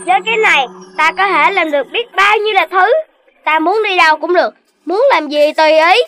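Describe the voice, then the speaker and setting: A performer voicing an excitable young puppy boy in a children's cartoon, talking in a childlike, exclamatory way. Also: extremely high pitch, fairly quick, slight background noise, exaggerated voice. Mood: gleeful. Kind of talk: animated children's cartoon dubbing.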